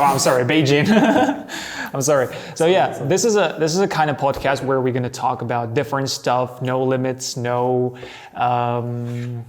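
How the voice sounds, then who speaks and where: Male host, mid-pitched, loose and laughing, casual podcast chat. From Kazakhstan. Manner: laughs often, loose and informal.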